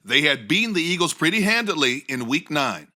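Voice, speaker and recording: Wry, very bright-voiced male co-host. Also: a little high, very animated, a little fast. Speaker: podcast co-host. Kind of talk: scripted narration.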